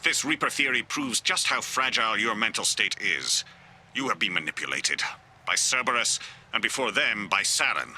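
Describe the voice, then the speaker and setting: Sharp, forceful, haughty male alien councilor. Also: lowish voice, expressive, moderate pace, slight background noise. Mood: scornful. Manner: condescending, clipped authority. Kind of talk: video game voice acting.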